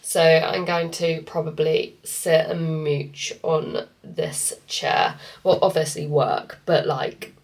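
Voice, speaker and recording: A woman's voice: low voice, fairly even intonation, slow pace, hardly any pauses, a little mellow. A woman vlogging casually as a fitness coach, congested from a cold, rambling with lots of fillers. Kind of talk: casual vlog chat to camera.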